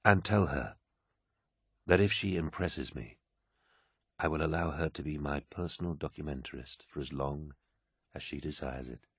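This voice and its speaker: Man acting proud brooding warlord, extremely low, husky, imperious, measured, audiobook character. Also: fairly bright tone, no echo, clean studio sound, exaggerated voice. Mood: commanding. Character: commanding, brooding armoured male warlord, proud and grand.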